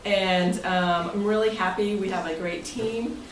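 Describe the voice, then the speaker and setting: An American woman gives an informal, jokey talk as the host of a club meeting. She is picked up from a distance in an echoey room, with clear noise behind her. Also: mid-range voice, steady tone, unhurried. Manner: jokey, breaking into chuckles. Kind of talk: informal speech to a club meeting, part read from prepared text.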